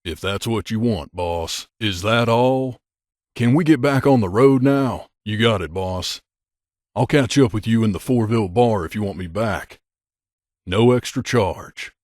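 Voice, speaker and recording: Male actor as gruff game mercenary, low voice, middle-aged, terse, aloof, guarded loner, dry close recording. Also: unhurried, darkish tone, no room sound, natural voice. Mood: businesslike, dry. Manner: terse, aloof, matter-of-fact. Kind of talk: video game character dialogue.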